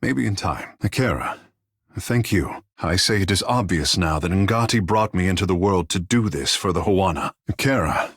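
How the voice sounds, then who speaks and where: A playful fish-man demigod from a video game, kinda low n husky, super poetic and dramatic, very dry sound. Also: expressive, moderate pace, dry recording, exaggerated voice. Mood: thoughtful, earnest. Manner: theatrical, lyrical, flowery turns of phrase. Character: proud, playful godlike fish-man artist, young and poetic.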